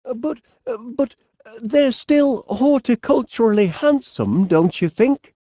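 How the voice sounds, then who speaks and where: A man's voice: very high voice, expressive, a little slow, warm tone, dry recording, studio quality, full character voice. A man playing a cheerful, bumbling middle-aged inventor in a comedy game, with a Northern English accent and a politely flustered, hesitant manner. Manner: hesitant, stammering politeness with quaint exclamations. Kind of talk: animated comedy game voice acting.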